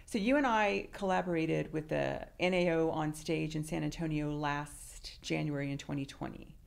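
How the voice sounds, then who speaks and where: A woman's voice: sits low, moderate pace, creaky. A woman in her sixties hosting a podcast, chatting casually and telling anecdotes. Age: 60s. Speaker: podcast host. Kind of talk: casual interview chat.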